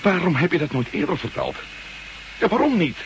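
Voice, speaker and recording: An actor playing a driven former astronaut turned reporter, pressing hard and insistent in a radio drama. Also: male voice, high voice, sing-song, fairly quick, slight background noise. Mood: urgent. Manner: urgent, insistent.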